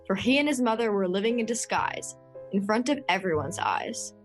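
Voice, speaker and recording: A woman with a medium-pitched voice reads scripted narration for a dramatic story. Music runs faintly beneath her words. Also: lively intonation, normal speed.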